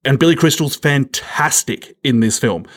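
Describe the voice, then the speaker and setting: A man's voice: medium pitch, moderate pace. A man co-hosting a film podcast in casual conversation, jokey and emphatic, often repeating himself to make a point.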